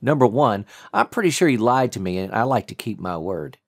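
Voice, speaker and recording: A man's voice: fairly low pitch, very animated, fairly quick, gravelly, dry recording, clean studio sound, exaggerated voice. A man voicing a ruthless, wry male vigilante in an audiobook thriller, with sardonic, clipped reasoning. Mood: cold, sardonic.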